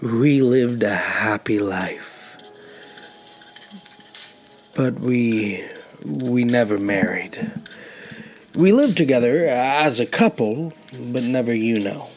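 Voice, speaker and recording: An actor playing a lonely elderly man who was once homeless, with a hesitant, gentle, wry way of talking in an audio drama. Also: medium pitch, expressive, takes it slowly, pauses often. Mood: wistful. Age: older. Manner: hesitant, reflective. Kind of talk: naturalistic audio drama dialogue.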